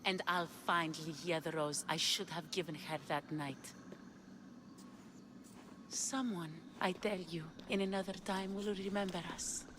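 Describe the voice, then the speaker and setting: A woman's voice: fairly low pitch, fairly even intonation, a little slow, noisy background, natural voice. A woman playing a devoted, anxious lover in a video game, earnest and urgent. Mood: longing. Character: devoted, anxious woman searching for her lost love. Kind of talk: dramatic video game acting.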